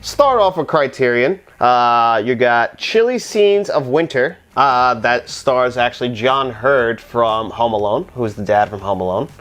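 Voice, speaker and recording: Slightly raspy, enthusiastic man. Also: medium pitch, lively intonation, unhurried, hardly any pauses, fairly bright tone. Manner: enthusiastic, talkative. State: hoarse, getting over the flu. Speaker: podcast co-host. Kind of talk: casual podcast chat.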